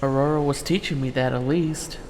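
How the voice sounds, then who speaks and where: A man's voice: medium pitch, fairly even intonation, fairly quick, darkish tone, lightly acted. A man playing an unsure, polite young newcomer in an audio drama, speaking in a hesitant, subdued manner. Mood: uncertain, polite. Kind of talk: scripted fan audio drama acting. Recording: reverberant hall, faint noise.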